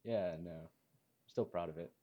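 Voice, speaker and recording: A twenty-year-old man tells a story in an easygoing way and laughs as he talks. His voice sits very low, and a faint hiss runs under it. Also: a little slow, lo-fi sound. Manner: relaxed storytelling, laughs often. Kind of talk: casual group chat.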